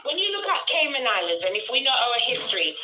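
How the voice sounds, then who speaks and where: A woman's voice: on the high side, very animated, fast talker, echoey room, muffled recording, background noise. A Jamaican woman hosting a live-stream chat, talking earnestly and at length, slipping into patois now and then. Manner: rambling, earnest, slips into patois.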